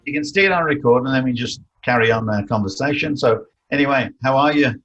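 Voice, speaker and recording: A man's voice: mid-range voice, quick pace, fairly bright tone, highs cut off, soft background music. A man co-hosting a martial arts podcast, chatting in a jokey way and laughing easily. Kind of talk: casual chat.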